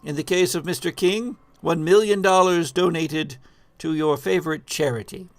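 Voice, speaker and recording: A man's voice: fairly high pitch, moderate pace, no echo. A man narrating a tabletop horror game as the game master.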